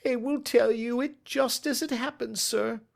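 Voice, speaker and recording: A man voicing a cringing, terrified male thief in an audiobook, with a cracked, shrill, pleading voice. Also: steady tone, a little slow, gravelly, full character voice. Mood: cowed, anxious. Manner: pleading, servile, quavering. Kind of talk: audiobook character voice.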